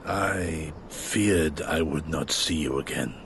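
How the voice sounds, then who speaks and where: A middle-aged man acting as a stoic, penitent warrior mentor in a video game, gravelly, grave and formal but quietly fond. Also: on the high side, sing-song, normal speed, slight background noise, heavily acted. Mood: quiet relief.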